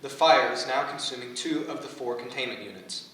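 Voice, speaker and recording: A man's voice: mid-range voice, a little fast, a bit bright. A man reading stage directions as scripted narration for a staged play reading. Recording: very echoey room, distant mic, faint noise.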